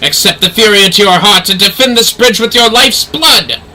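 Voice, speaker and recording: A man's voice: high voice, moderate pace, pushed and bright, heavily acted. A man voicing fervent fantasy knight commanders in a video game, declaiming urgent battle cries to rally troops. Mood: rousing, defiant.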